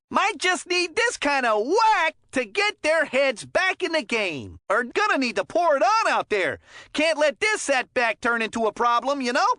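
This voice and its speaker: Cartoonish game hockey commentator, high-pitched, very animated, folksy, chummy, encouraging. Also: female voice, a little slow, no room sound, clean studio sound, heavily acted. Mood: concerned but hopeful. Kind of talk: video game sports commentary voicelines.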